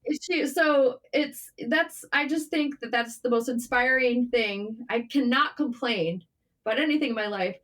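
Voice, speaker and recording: High-voiced woman, candid and animated. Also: moderate pace. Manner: animated, informal, swearing, self-deprecating. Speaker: guest, writer and journalist. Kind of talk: casual, candid interview chat.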